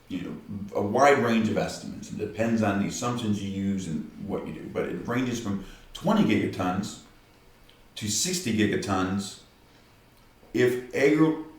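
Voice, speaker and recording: An older man with a gruff voice who talks directly, rambling to camera in a casual vlog and often tagging on "right?". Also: on the low side, darkish tone, heavy reverb, distant mic. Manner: rambling, many "right?" tags. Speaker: vlogger.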